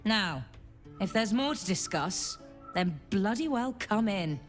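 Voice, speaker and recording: A woman playing a brisk, bossy grown daughter in a video game, blunt and teasing but fond underneath. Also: mid-range voice, lively intonation, soft background music. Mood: stern, teasing. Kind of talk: video game dramatic acting.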